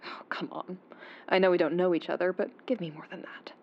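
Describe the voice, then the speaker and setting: Guarded informant on muffled phone. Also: female voice, fairly low pitch, steady tone, brisk. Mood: coolly teasing. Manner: controlled, terse, teasing. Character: guarded, sly anonymous female informant. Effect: phone-call filter. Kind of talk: audio drama, phone conversation. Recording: dull muffled phone line.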